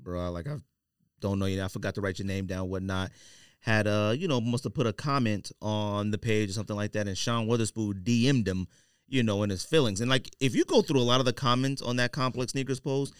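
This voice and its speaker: A man's voice: on the low side, fairly even intonation, brisk, smooth, no room sound, studio quality. A man with an American accent chatting casually as a podcast host, rambling and slangy. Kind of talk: casual podcast banter about sneakers.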